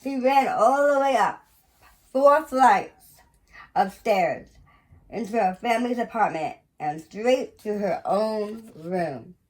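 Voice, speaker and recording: A woman reading a children's picture book aloud in an expressive, deliberate storytelling manner with pauses. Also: medium pitch, rough recording. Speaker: museum educator reading aloud.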